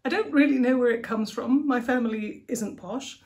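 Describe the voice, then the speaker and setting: A woman's voice: on the high side, moderate pace, a little mellow. A woman in her forties with a posh English accent, hosting a reflective solo talk from her living room with frequent ums and light laughs. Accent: posh southern English. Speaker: podcast host. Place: living room at home, indoors.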